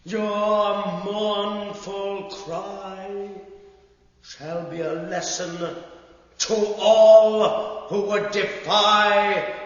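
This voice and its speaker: An actor playing a stern elder who declaims judgment gravely and ceremonially in an audio drama. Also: male voice, sits high, steady tone, extremely slow. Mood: stern, condemning. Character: stern male elder pronouncing solemn judgment. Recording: cavernous echoing hall, distant, dull.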